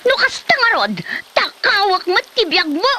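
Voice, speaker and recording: An actress playing an exasperated wife who scolds her lazy husband in an Ilocano comedy drama, sharp and nagging, with an Ilocano accent. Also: very high voice, big pitch swings, moderate pace, a little hiss or hum, full character voice. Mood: angry, accusing.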